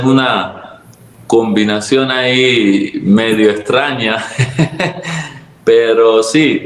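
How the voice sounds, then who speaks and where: A man's voice: mid-range voice, roomy sound. A man in his thirties from Venezuela with a Venezuelan Spanish accent, a pastor telling his testimony in an earnest, reflective way. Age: 30s. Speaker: pastor, guest. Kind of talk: testimony interview.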